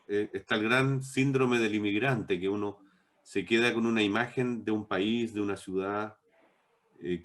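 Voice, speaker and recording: A middle-aged man talks reflectively in an interview, in a discursive, halting way with repeated words. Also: fairly low pitch, fairly bright tone. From born in Bolivia, moved to Chile as a child. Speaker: interviewed novelist.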